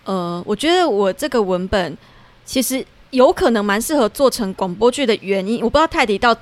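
Higher-voiced Taiwanese woman chatting reflectively. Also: expressive, brisk. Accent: Taiwanese Mandarin. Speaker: guest, theatre playwright and performer. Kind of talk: casual podcast conversation.

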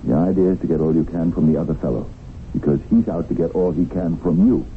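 Money-minded young husband, low-pitched, hesitant, evasive, 1970s radio drama, thin noisy broadcast sound. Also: male voice, fairly even intonation, quick pace, narrow, tinny audio. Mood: distracted, defensive. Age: young adult. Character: ambitious, money-minded businessman, distracted husband.

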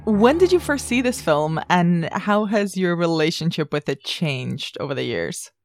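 A woman's voice: on the low side, very animated, unhurried, faint music behind. A woman hosting a film podcast, talking casually in a considered, reflective way.

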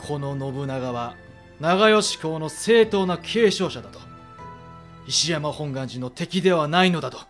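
A man's voice: on the high side, expressive, a little slow, fairly bright tone, faint music behind. A man playing a commanding, blunt warlord in a Japanese period audio drama, with imperious, archaic lordly speech. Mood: commanding, magnanimous.

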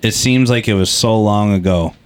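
A man's voice: fairly low pitch, moderate pace, clean tone. A man in his late thirties co-hosting a podcast, teasing his friends in casual banter. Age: 30s. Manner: teasing, animated. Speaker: podcast co-host.

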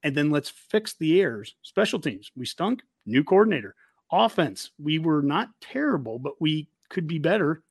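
A man with an American accent and a slightly high voice, recorded close and dry. He talks sports casually as a fan show host, driving points home with emphatic repetition. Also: very animated, normal speed, husky, no room sound.

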